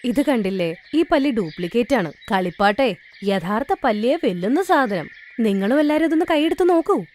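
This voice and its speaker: A woman's voice: a little high, animated, a little fast, darkish tone, slight background noise, close to an everyday voice. A woman voicing an earnest, plucky young wife in a cartoon moral story, speaking up firmly.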